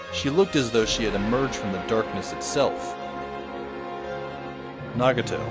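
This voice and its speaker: An actor playing a dry, sardonic teenage schoolboy who narrates an audio drama in the first person. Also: male voice, lowish voice. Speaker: narrator and lead character. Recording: music under the voice.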